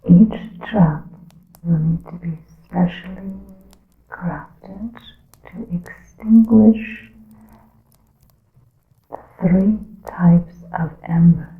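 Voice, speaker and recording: A woman playing a scheming witch elder in an ASMR roleplay, in a breathy, creaky whisper with formal, archaic, deliberate phrasing. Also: lowish voice, full character voice. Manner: deliberate, formal, archaic diction with long pauses. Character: scheming female witch elder instructing her secret coven. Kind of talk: ASMR roleplay, scripted monologue. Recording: muffled phone-like lo-fi sound, roomy echo.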